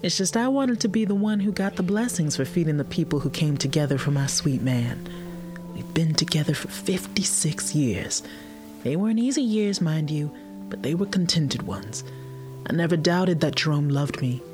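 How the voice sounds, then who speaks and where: An elderly widow, speaks in a folksy, plainspoken way in an audio drama. She is gracious, tender and quietly proud. Plucked guitar music plays clearly behind her. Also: low voice, lively intonation, normal speed. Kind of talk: audio drama character acting.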